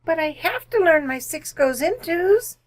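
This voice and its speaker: A little schoolgirl in a radio family comedy. Her voice is high and swings widely, with a plaintive, childlike edge and an unhurried pace. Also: very animated, heavily acted. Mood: reciting, protesting. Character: young schoolgirl daughter, whiny and inquisitive. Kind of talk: old-time radio family comedy acting.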